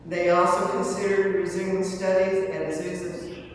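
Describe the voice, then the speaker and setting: Female memorial reader, halting measured delivery, distant in a reverberant hall with faint noise. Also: fairly low pitch, little pitch movement. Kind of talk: scripted memorial reading. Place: large church hall, indoors. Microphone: far from the speaker. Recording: echoey church hall, distant mic, noisy.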